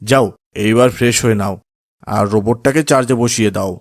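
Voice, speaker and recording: A man playing a calm, kindly father in a children's cartoon, with a gentle, measured delivery. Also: medium pitch, dry recording, studio quality, lightly acted. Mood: calm, pleased.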